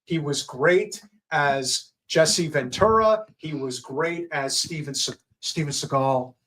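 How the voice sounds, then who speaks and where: A man with a deep voice co-hosting a casual political livestream, talking in chatty bursts with restarts and asides to viewers. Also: takes it slowly, a bit bright.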